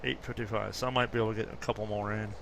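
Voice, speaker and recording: A man's voice: on the low side, little pitch movement, very fast, creaky, noisy background. A man in his fifties casually chatting through gameplay commentary, rambling and correcting himself as he goes. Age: 50s. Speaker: gaming YouTuber.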